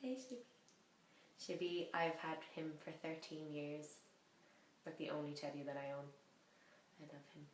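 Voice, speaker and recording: Low-voiced chatty woman in a roomy echo. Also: steady tone, moderate pace, pauses often, a little hiss or hum. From half French, French mother. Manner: rambling, self-correcting, chatty and playful. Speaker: vlogger learning a language. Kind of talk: casual chatty vlog.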